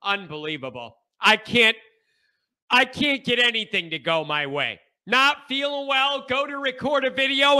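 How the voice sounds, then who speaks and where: A man's voice: very high-pitched, pushed and bright. A man hosting a sports talk show, talking emphatically and repeating his points, his voice stuffed up from congestion. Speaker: show host.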